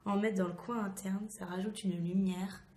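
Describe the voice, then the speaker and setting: A woman with a hoarse voice recovering voice loss, chatting enthusiastically as she reviews a beauty product to camera. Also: mid-range voice, fairly even intonation, normal speed, a little mellow. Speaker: beauty vlogger. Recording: echoey, reverberant room.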